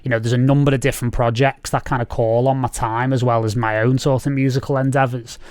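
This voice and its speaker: A man's voice: mid-range voice, level tone, fast, darkish tone, smooth, dry recording, clean studio sound. A man in his thirties with a Scouse accent, hosting a casual video-call interview from a room at home and rambling with many fillers. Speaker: podcast host. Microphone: studio mic on boom arm, video call.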